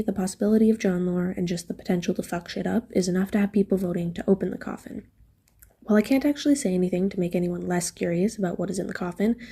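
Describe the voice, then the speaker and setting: A woman with a very dark, mellow voice, husky and full of fry, reads a prepared message. She sounds measured and earnest as she goes point by point. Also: medium pitch. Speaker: listener sending a voice message. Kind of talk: prepared, scripted plea.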